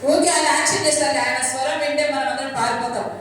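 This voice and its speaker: A woman's voice: high-pitched, rapid-fire. A woman presenting a children's puppet show, instructive and repeating phrases for the children. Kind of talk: lively scolding and prompting of puppets and children. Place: large hall at a church Bible school. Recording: very echoey hall, far mic, crowd noise.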